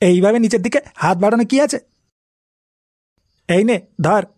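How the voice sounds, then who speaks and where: A man's voice: high voice, moderate pace, darkish tone, a little hiss or hum, lightly acted. A man voicing an irate, foul-mouthed old village father in a comedy cartoon, scolding with coarse sarcasm. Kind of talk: Bengali comedy cartoon acting.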